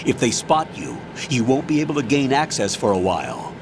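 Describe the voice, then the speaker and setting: A man playing a commanding older army colonel in a video game, giving measured, authoritative mission briefings. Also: mid-range voice, very animated, noisy background, close to an everyday voice. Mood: instructive.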